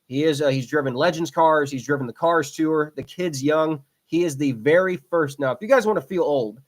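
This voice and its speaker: A man's voice: on the high side, normal speed. Chatty young man hosting a racing podcast. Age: 20s. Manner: rambling, repeats himself, frequent fillers. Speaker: podcast host. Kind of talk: casual solo podcast commentary.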